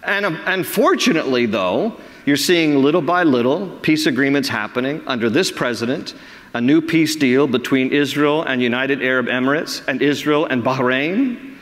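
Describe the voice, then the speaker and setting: A man's voice: mid-range voice, moderate pace, a bit bright. A man preaching an emphatic sermon as pastor, reading quotes aloud from the pulpit. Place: large church sanctuary. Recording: long hall reverb.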